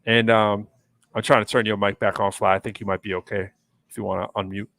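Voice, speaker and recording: A man's voice: on the low side, little pitch movement, fast talker, gravelly, fairly bright tone, dry recording. Rambling, chatty man hosting a live show. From United States East Coast. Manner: rambling storyteller with many fillers and restarts. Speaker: show host. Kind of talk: casual live-stream chat. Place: hotel area in New York City.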